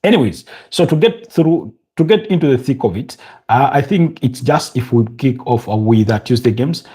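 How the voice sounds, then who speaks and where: Ugandan man hosting a football stream, husky and mellow, rambling with lots of fillers and restarts. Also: mid-range voice, animated. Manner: halting, many fillers and restarts, chats with viewers. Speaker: football podcast host. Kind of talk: casual live-stream football preview.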